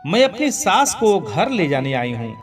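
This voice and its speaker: A man's voice: sits high, sing-song, unhurried, far from the mic, faint music behind. A man voicing a repentant daughter-in-law in a moral story, humble and pleading. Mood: remorseful pleading.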